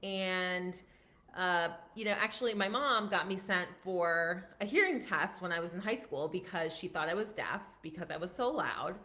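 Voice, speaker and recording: A woman in her thirties, a physician and life coach, talking casually on a live stream in a loud, projecting voice with lots of chatty asides. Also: lowish voice, normal speed. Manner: chatty, many fillers and restarts.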